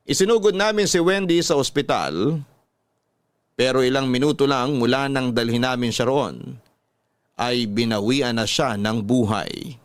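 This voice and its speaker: A man's voice: medium pitch, dry recording, studio quality. A man with a Filipino accent reading a dramatic first-person story as a radio storyteller.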